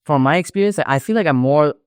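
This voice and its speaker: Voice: mid-range voice, quick pace, darkish tone, clean tone, dry recording, studio quality. A young adult podcast host with a Malaysian accent, chatting casually and peppering the talk with "like". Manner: chatty, frequent 'like'. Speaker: podcast host and international student.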